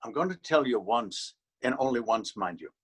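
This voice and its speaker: A man's voice: medium pitch, expressive, moderate pace, a bit bright, breathy edge. An actor in a readers theater drama playing a stern, weary policeman, admonishing and authoritative. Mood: stern, threatening. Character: stern, weary Irish male beat policeman, bullying. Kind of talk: readers theater stage acting.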